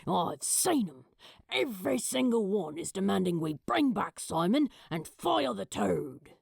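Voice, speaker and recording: A woman voicing a gruff, indignant man in an audiobook, grumbling in a low, gravelly, conspiratorial voice. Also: big pitch swings, measured pace, darkish tone, no room sound, exaggerated voice. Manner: grumbling, keeping voice down.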